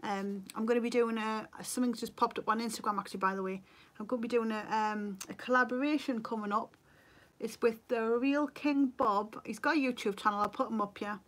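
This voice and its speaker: Geordie woman in her thirties, slightly raised pitch, relaxed livestream chatter via webcam at home. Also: a little fast. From North East England. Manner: chatty, reads viewer comments aloud, laughs easily. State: a bit tired. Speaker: beauty vlogger. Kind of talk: casual livestream chat. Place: bedroom at home, indoors. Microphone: webcam at desk.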